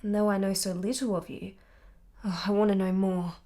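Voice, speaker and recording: An actress plays a passionate young married woman in a period radio play, mid-pitched and articulate. She is candid and intense, with a measured delivery full of yearning. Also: level tone, mellow, lightly acted. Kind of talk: radio drama acting.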